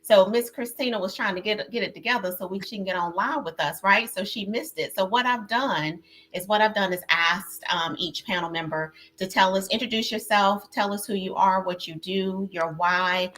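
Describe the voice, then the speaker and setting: A woman's voice: medium pitch, normal speed, a bit bright. A woman hosting a live online panel over a video call, effusive and chatty, with lots of fillers and repeats. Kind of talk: casual live-stream hosting.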